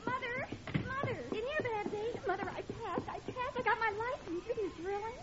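A woman's voice: very high voice, fairly quick, loud music behind the voice. An actress playing an eager, girlish teenage daughter in an old radio sitcom. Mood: thrilled. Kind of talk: 1950s radio sitcom acting. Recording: muffled, very noisy old radio recording.

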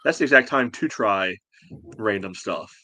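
Low-voiced man in a dry recording. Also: animated, moderate pace, pushed and bright, dry recording. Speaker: podcast co-host. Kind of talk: casual sports talk.

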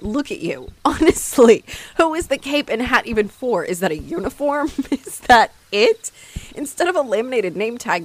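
Snarky, animated vampire woman, played by an actress. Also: medium pitch. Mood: gleefully mocking. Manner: mocking, sarcastic, theatrical, swearing. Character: snarky, haughty female vampire, flustered by her hunter rival. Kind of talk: roleplay audio drama addressed to the listener.

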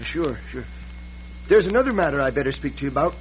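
Fast-talking, meek bank clerk. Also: male voice, on the high side, sing-song, lo-fi sound. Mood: meek, placating. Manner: hesitant, folksy, placating. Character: nervous, meek, deferential frontier bank cashier. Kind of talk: old-time radio western acting. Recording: hissy, band-limited old radio broadcast.

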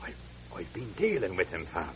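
A guilt-ridden old Irish drunkard, frail and fearful. His halting, theatrical lament swings widely in pitch, in an Irish accent. It is classic radio drama, heard through a dull vintage recording with a faint hum. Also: huge pitch swings, a bit bright, heavily acted. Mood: grave, confessional.

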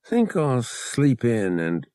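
A man's voice: mid-range voice, wildly swooping pitch, slow pace, mellow, dry recording, clean studio sound. A man voicing a casual, practical young smuggler pilot in an audiobook, slangy and wry, dropping word endings. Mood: weary. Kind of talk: audiobook character voice.

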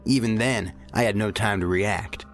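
An actor playing a hardened, blunt federal field agent in an audio drama, serious in manner. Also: male voice, fairly low pitch, normal speed, soft background music, lightly acted. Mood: defensive.

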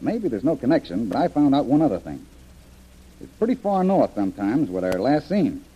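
Hearty adventure hero, husky, animated intonation, vintage radio drama, dull recording. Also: male voice, mid-range voice, normal speed. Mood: intrigued. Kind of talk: old-time radio adventure serial acting. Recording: muffled vintage radio broadcast.

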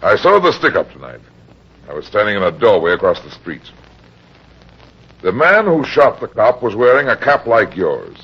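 Dull old radio recording, shrewd heavyset private eye, American, hard-boiled, husky, animated pitch. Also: male voice, normal speed, full character voice. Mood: probing, skeptical. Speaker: actor playing detective and narrator. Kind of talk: old-time radio detective drama acting.

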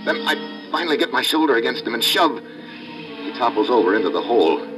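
An actor playing a tough, wry undercover diver, narrating tersely in an old-time radio adventure. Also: male voice, mid-range voice, big pitch swings, a little fast, close to an everyday voice, low-quality recording. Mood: tense narration. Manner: terse, hard-boiled. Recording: vintage radio recording with music.

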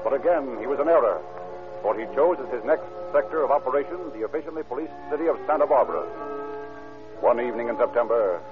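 A man's voice: mid-range voice, animated, fast. A man delivering dramatic, declamatory scripted narration in the formal style of 1930s radio crime shows. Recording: tinny old radio recording, music behind.

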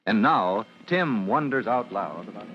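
A man's voice: fairly low pitch, expressive, measured pace. A man with an American accent announcing and narrating an old radio drama in a declamatory, emphatic style. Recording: muffled old radio recording, faint noise.

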